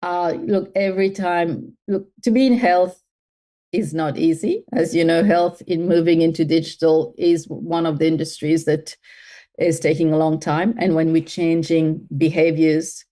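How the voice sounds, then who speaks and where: A woman from Mauritius speaks as an interview guest, her voice low and mellow. She thinks aloud, circling back with fillers and restarts as she builds each answer. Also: fairly even intonation, measured pace. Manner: thoughtful, with many fillers and restarts. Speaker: guest, health tech CEO.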